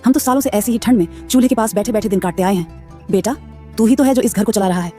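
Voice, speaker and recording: A woman voicing a poor, caring elderly mother in an animated story, soothing and motherly. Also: medium pitch, fast talker, soft background music, lightly acted. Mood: tender. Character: poor caring old mother, gentle and steady.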